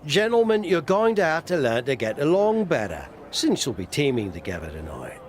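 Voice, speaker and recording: A man's voice: a little high, huge pitch swings, normal speed, slight background noise. A man playing a wry, blunt older wrestler turned manager in video game cutscene dialogue. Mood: dry, matter-of-fact.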